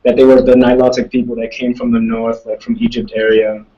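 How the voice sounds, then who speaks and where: A man's voice: medium pitch, flat delivery, fairly quick, distant mic, muffled recording. A young man, a student, answering audience questions in casual talk, thoughtful and rambling, with many restarts. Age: young adult. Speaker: student speaker.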